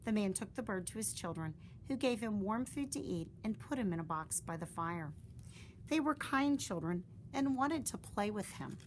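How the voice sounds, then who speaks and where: Gentle woman reading a children's story. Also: mid-range voice, a little hiss or hum, low-quality recording. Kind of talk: children's story read-aloud.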